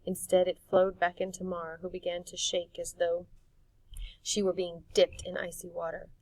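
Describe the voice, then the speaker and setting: A woman narrates a story expressively, as an audiobook reader. Her voice has a marked creak, and the recording is close and dry, with hardly any room sound. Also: fairly low pitch, monotone, normal speed, a little mellow, no echo, distant mic, rough recording.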